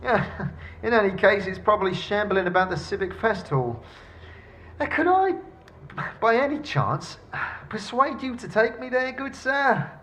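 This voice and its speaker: A genteel severed head in a fantasy audio drama. The voice is high and bright, fussy and courteous, swinging eagerly up and down as it chatters. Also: male voice, lively intonation, unhurried, pauses often, slight background noise. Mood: cheerfully polite. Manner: fussy, polite, theatrical, breaks into chuckles. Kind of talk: audio drama character acting.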